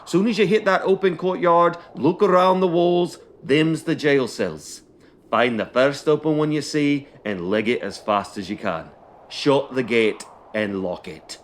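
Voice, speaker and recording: Gruff, blunt prison escort, acted by a man. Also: a little high, measured pace, close to an everyday voice. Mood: low, grave urging. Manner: commanding, colloquial, sweary, plain-spoken. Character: gruff, blunt male escort guard warning boys about prison. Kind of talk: audio drama acting.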